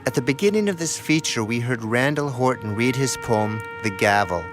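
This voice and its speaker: A man's voice: mid-range voice, moderate pace, music in the background. A man reading scripted narration for a radio documentary feature.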